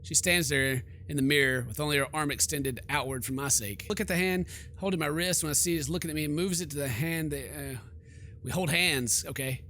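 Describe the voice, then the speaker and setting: A man's voice: on the high side, a little fast, sharp tone, slight background noise. A man hosting a let's play, reading game text aloud and commenting with jokey, theatrical reactions. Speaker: gaming video host.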